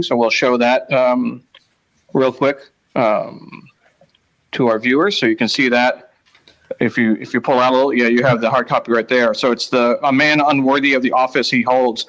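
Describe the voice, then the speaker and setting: Halting male host, dull lo-fi sound. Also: medium pitch, a bit bright. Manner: halting, pausing between short phrases. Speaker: host of a live newspaper read-along. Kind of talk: casual live show hosting, reading out viewer comments.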